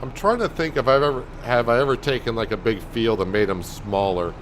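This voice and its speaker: A man calmly talking viewers through a farming game on a livestream, in a soothing, unhurried way. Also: medium pitch, slight background noise. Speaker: game streamer. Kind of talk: casual gameplay commentary.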